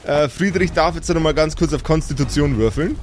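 A man's voice: a little high, a little fast. A man narrating an improvised tabletop fantasy game dramatically as game master. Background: music.

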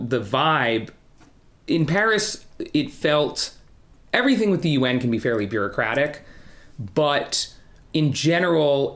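A man's voice: mid-range voice, fairly bright tone. A man speaking as a journalist on a discussion panel, explaining things off the cuff in winding, hesitant sentences. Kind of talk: unscripted analytical discussion. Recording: echoey, roomy sound.

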